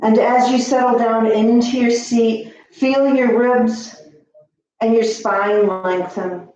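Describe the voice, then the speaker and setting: A woman leads a yoga class in a mellow, soft-edged voice. She speaks slowly, giving gentle, soothing cues and leaving long pauses between them. The sound is very echoey, as in a reverberant room. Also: a little high, steady tone, muffled recording. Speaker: yoga teacher. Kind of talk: guided yoga and meditation instruction.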